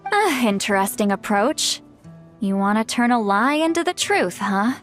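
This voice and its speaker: An actress playing a wise, doting woman who styles herself a granny in a video game, speaking in a measured, knowing way. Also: fairly high pitch, expressive, fairly bright tone. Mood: knowing, indulgent. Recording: music under the voice. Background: background music.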